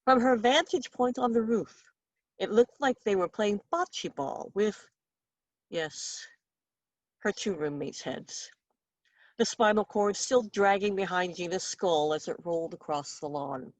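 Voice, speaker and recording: A woman's voice: medium pitch, expressive, a little slow, no room sound. Wry woman reading a story aloud, dry sound. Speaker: author reading own short story. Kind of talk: expressive literary story reading.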